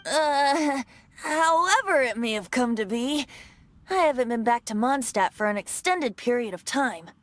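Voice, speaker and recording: A woman's voice: high-pitched, lively intonation, unhurried. A woman voicing a playful, breezy young male bard god in a video game, in a coy, theatrical manner. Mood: modest, playful. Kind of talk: scripted video game voice acting.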